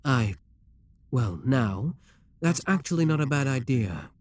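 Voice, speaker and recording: A man voicing a possessive, scheming demon king who talks to the listener in a calm, coaxing, self-assured way. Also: medium pitch, a little slow, no room sound. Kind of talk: audio roleplay addressed to the listener.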